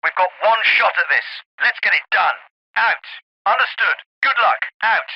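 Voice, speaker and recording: An actor playing an authoritative captain with a clipped, commanding delivery in a military video game. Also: male voice, high-pitched, animated, unhurried, gravelly, slightly rough, lo-fi sound, heavily acted. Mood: focused, urgent. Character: authoritative male Royal Navy special forces captain. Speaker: military officer, squad commander. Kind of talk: scripted military game dialogue.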